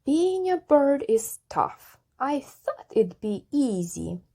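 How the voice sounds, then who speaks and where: A woman's voice: high voice, sing-song, slow pace, mellow, pitched above the performer's own voice, full character voice. A woman voicing a naive, earnest young male elephant from a rhyming children's storybook. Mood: discouraged, determined. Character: naive, cheerful young male elephant who loves singing.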